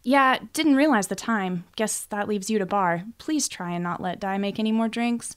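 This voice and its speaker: A woman's voice: medium pitch, normal speed, clean tone, close to an everyday voice. A wry young female barista acted by a woman narrator from a storybook. She talks in a dry, offhand way, casual and hard to impress.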